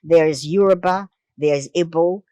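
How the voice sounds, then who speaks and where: A woman's voice: lowish voice, unhurried, dark tone, no room sound. A woman speaking as an interview guest, emphatic and impassioned, repeating phrases for weight. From Jamaica. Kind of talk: impassioned discussion.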